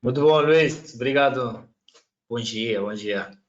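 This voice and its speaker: A man's voice: medium pitch, slow pace, roomy sound, dull audio. Argentine-accented man hosting a panel. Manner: chatty, loose, many fillers. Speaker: moderator. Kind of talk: hosting a live online panel.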